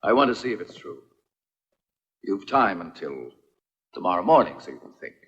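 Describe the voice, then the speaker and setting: An actor playing a cruel, mocking villain in a dubbed historical adventure film, speaking in a menacing, theatrical way. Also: male voice, sits low, big pitch swings, normal speed, fairly bright tone. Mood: cold threat. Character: cruel, mocking male villain who threatens prisoners. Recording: dull dubbed film soundtrack with music.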